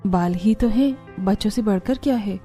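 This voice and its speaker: A woman playing a poor, gentle, self-sacrificing young mother in a cartoon story, speaking softly and earnestly. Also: medium pitch, normal speed, soft background music, close to an everyday voice. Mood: resigned.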